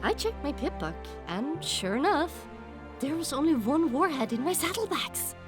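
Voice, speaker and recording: A woman narrating a dramatic first-person story in an expressive, emotive way, as an audiobook narrator. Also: high voice, normal speed. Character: young pony heroine, emotional and earnest. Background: music under the voice.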